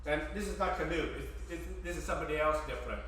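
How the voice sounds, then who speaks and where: A man's voice: a little high, fast talker, a bit bright, a little hiss or hum. A middle-aged man whose first language is Vietnamese, chatting casually to his stream viewers while he cooks in his home kitchen. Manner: chatty, joking asides to chat. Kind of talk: casual live-stream chat while cooking. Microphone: fixed camera at a distance. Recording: echoey kitchen, mic at a distance.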